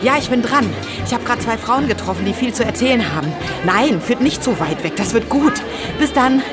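A woman's voice: fairly high pitch, expressive, brisk, over a noisy crowd, lightly acted. An actress playing a combative, self-assured woman writer in a radio play, argumentative, with music behind her. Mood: brisk. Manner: argumentative, indignant. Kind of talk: naturalistic radio play acting.

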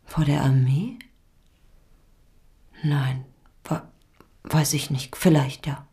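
A low, dark-voiced mother in a radio play, worn and unsure. She answers haltingly, with a gravelly fry, at times sinking into a hush. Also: heavily acted. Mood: subdued, uncertain. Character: subdued, hesitant mother of a missing teenage boy. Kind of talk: radio drama acting.

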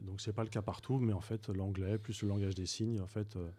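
A man speaking as a guest on a radio talk show, recounting his volunteer trip in a halting way with frequent fillers and restarts. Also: lowish voice, flat delivery, mellow, a little hiss or hum. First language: Arabic speaker. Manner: hesitant, many fillers and restarts. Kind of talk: radio interview.

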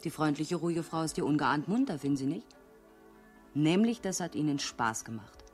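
A woman's voice: deep voice, lively intonation, moderate pace, darkish tone, soft background music. An actress in a radio crime drama playing a cool, outspoken younger police detective, composed and pointed in how she speaks. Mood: pointed, explaining.

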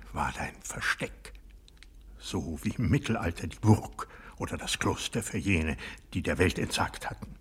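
Husky, brooding old aristocrat. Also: male voice, sits low, a bit bright, exaggerated voice. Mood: wistful, reproachful. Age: older. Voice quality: husky, breathy, often dropping to a whisper. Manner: deliberate, halting, intense, accusing. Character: bitter, proud old aristocratic man, former hunter, brooding over betrayal. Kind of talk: radio drama monologue acting.

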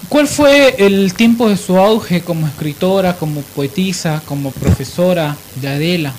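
A man hosting an opinionated radio discussion, emphatic and halting as he builds his points. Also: sits high, background noise. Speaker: radio host.

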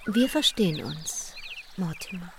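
A woman's voice: lowish voice, lively intonation, a little slow, gravelly, loud music behind the voice. A woman playing a sly adventuress posing as a genteel society lady in a radio play, with insinuating delivery. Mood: knowing, conspiratorial.

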